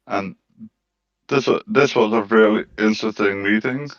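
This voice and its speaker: A man's voice: fairly low pitch, normal speed. Easygoing man on dull call audio. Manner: easygoing, rambling with fillers. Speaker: crypto community host. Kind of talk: casual chat.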